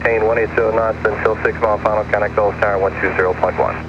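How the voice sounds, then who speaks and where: A man's voice: fairly low pitch, flat delivery, rapid-fire. A man working as an approach controller gives clipped instructions over aircraft radio. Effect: aircraft radio transmission. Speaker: approach air traffic controller. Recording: muffled, noisy aircraft radio with engine drone. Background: aircraft cabin noise.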